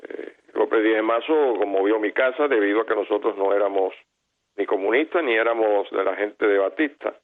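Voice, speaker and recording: A man's voice: on the low side, brisk. A Cuban man in his eighties, an interview guest telling his own story earnestly and correcting himself mid-sentence. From Cuba. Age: 80s. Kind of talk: personal recollection in an interview. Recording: tinny phone-like line.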